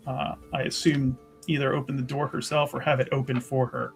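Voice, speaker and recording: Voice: medium pitch, little pitch movement, a little fast, gravelly. Player casually saying what their character does in a tabletop game, playful, music behind.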